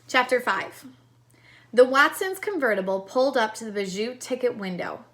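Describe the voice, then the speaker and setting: A woman reading a children's story aloud in a deliberate way, pausing to explain the pictures to young listeners. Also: a little high, lively intonation, a bit bright. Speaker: storytime reader, teacher.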